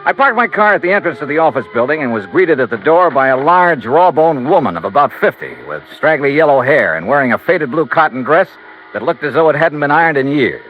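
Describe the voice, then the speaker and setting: An actor playing a wry, unflappable insurance investigator in an old American radio drama, talking in a crisp, clipped way. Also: male voice, fairly high pitch, very animated, a little fast, faint music behind. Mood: matter-of-fact. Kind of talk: 1950s American radio drama, first-person narration and dialogue. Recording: lo-fi vintage radio recording.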